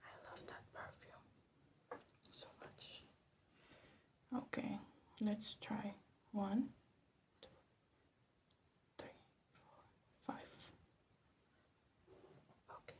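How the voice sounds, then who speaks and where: A woman giving casual ASMR commentary in a soft, breathy half-whisper, musing to herself without hurry. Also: medium pitch, level tone, extremely slow, long pauses. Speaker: vlog host. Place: her bedroom. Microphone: phone. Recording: faint background noise, phone microphone.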